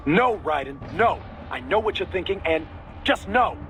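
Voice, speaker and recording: An actor playing an earnest, cautious support officer in a video game, emphatic and quick to explain. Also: male voice, high-pitched, animated, a bit bright. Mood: exasperated. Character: earnest, exasperated male support officer, cautious and law-minded. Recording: music behind.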